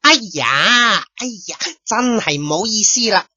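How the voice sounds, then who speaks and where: A woman's voice: mid-range voice, animated, bright, forceful tone, no room sound, exaggerated voice. A woman voicing a flustered young mother who scolds her little daughter while apologizing to others, in a radio drama. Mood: apologetic. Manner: nagging, exasperated, quick apologies. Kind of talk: Cantonese radio drama acting. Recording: dull lo-fi dry recording.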